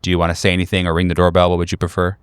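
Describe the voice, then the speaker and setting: Low-pitched man, clear smooth voice, measured descriptive narration, tabletop horror game master. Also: completely flat delivery, dry recording.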